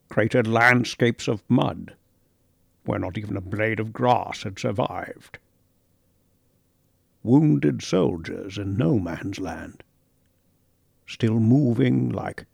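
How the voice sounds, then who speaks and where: This is a thoughtful middle-aged professor in a storybook reading, voiced by a man. He speaks slowly and carefully, in a gravelly mid-range voice. The sound is dry and close. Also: mid-range voice, fairly bright tone, no echo, full character voice.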